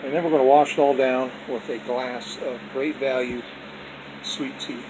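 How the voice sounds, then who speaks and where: Brief: folksy male home vlogger at the dinner table, phone-recorded, air-conditioner hum clearly audible behind him. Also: mid-range voice, steady tone, normal speed. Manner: folksy, repetitive, with religious blessings. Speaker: vlog host showing his dinner. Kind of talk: casual off-camera food vlog. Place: indoors at home, dining table.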